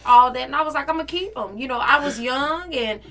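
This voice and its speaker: Young woman podcast co-host, high and bright, animated storyteller who keeps laughing. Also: fairly quick. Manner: animated, laughing, addresses listeners directly. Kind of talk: casual storytelling chat.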